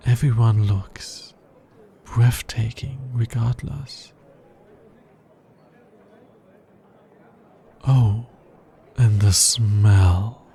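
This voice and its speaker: A man playing a tender, earnest alien scientist in an intimate ASMR romance roleplay, soft-spoken and measured. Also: medium pitch, slight background noise. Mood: enchanted. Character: smitten male alien scientist, earnest, tender, fascinated by humans.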